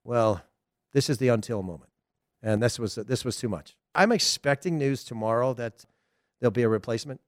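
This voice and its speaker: A middle-aged man with a Southern American accent, hosting a casual soccer talk show, chatty and animated with frequent laughs. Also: medium pitch, fairly quick, no room sound. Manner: chatty, animated, laughs often, loose restarts. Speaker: soccer podcast host. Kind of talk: casual solo commentary.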